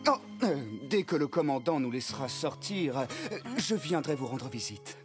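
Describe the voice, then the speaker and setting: An actor voices a gallant cartoon suitor in a dub. His mid-pitched voice is courtly, bashful and flattering, with music clearly underneath. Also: moderate pace, close to an everyday voice. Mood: smitten. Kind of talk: animated series dubbing.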